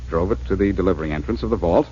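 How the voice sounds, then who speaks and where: An actor playing a confident, wry gentleman thief turned sleuth in a breezy, self-assured 1940s radio drama style. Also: sits low, very fast, natural voice. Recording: muffled vintage radio broadcast with hiss.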